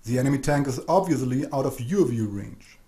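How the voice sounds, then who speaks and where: A man reading a scripted explanatory narration for an instructional video, measured and didactic, addressing the listener directly. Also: mid-range voice, animated, mellow. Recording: roomy, echoing voice-over.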